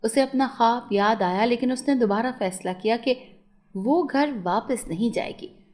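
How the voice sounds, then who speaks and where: A woman's voice: a little high, unhurried, a little mellow. A woman narrating a scripted moral story for children as a storyteller.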